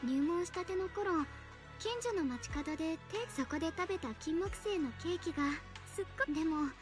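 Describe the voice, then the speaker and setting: Very high, quick young anime heroine. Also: female voice, expressive. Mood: earnest, reminiscing. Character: sweet young anime game heroine, earnest and gentle. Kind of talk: Japanese game voice acting. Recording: game audio with music over it.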